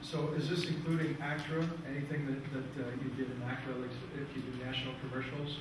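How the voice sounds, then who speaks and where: A man in an audience asking informal questions in a halting, persistent way. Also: mid-range voice, level tone. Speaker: audience member, musician. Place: indoor presentation room, in audience. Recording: echoey room, distant mic, noisy.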